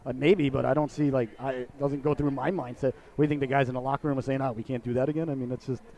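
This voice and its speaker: Fast-talking, rambling male hockey announcer. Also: medium pitch, steady tone, dark tone, a little hiss or hum. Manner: chatty, rambling, jokey asides to viewers. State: sniffly from a cold. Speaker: play-by-play announcer. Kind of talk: casual hockey broadcast commentary.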